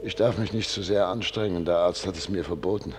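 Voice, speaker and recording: An actor playing a tired, ailing dignitary with a weary, complaining, halting manner in a German radio play. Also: male voice, deep voice, darkish tone, a little hiss or hum, close to an everyday voice. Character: tired, ailing male dignitary, dragged along at night.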